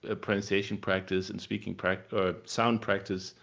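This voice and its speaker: Interview host, low gravelly guy, muffled sound. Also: level tone, moderate pace, a bit bright. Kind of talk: casual interview questions.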